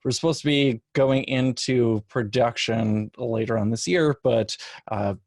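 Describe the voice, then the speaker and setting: A man on a panel giving casual, measured explanations with careful qualifications. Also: medium pitch, a little mellow, dry recording. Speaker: podcast panelist.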